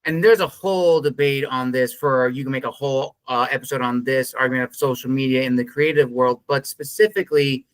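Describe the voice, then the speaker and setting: A man's voice: medium pitch, fairly even intonation, brisk, few pauses, no room sound. A man hosting an interview podcast, asking earnest, rambling questions full of fillers. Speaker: podcast host interviewing a narrator.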